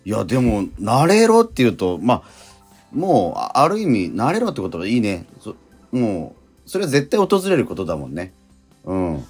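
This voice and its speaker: A man co-hosting a radio show in casual chat, reflective and halting, with frequent murmured interjections. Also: fairly low pitch, huge pitch swings, a little mellow, husky. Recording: music behind the voice. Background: background music.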